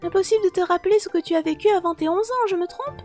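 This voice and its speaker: A woman voicing a determined, sharp-tongued young girl in an audiobook, speaking in a composed, firm and cutting way. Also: very high-pitched, quick pace, faint music behind, voice pushed up. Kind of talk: audiobook character dialogue.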